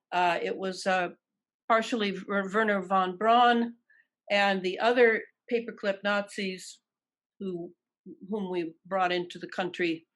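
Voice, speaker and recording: An older woman with an American accent answering in an interview, deliberate and emphatic, repeating phrases for weight. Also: on the low side. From Detroit, United States. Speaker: interview guest.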